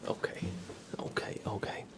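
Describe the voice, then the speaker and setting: A man hosting an ASMR livestream, chatting to viewers in a hushed, gentle, often whispered manner. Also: moderate pace. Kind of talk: ASMR, soft-spoken livestream chat.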